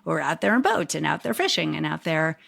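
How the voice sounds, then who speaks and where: A woman's voice: deep voice, big pitch swings, quick pace, gravelly, a bit bright. A woman hosting a casual podcast interview, talking in a rambling, reflective way and restarting her phrases often. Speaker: podcast host.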